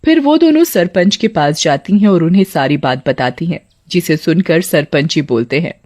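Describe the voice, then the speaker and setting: A woman's voice: lowish voice, expressive, normal speed, darkish tone. A woman narrating a scripted cartoon horror story.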